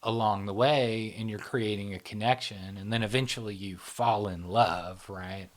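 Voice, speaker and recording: A man's voice: fairly low pitch, fairly even intonation, normal speed. A man in his fifties who hosts a casual psychology podcast chat, explaining things in small steps and checking in with "right?". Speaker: podcast host.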